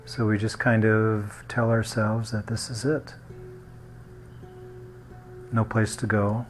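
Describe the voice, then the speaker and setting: A man guiding a meditation in a gentle, unhurried manner, leaving long pauses between short phrases. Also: on the low side, little pitch movement, soft background music. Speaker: meditation guide. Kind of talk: guided meditation.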